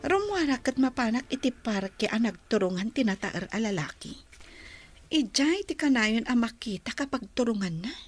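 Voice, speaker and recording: A woman's voice: on the high side, big pitch swings, normal speed, faint music behind. A Filipino woman playing a proud, curt young daughter working abroad, in a radio drama. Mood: musing, annoyed. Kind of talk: Ilocano radio drama acting.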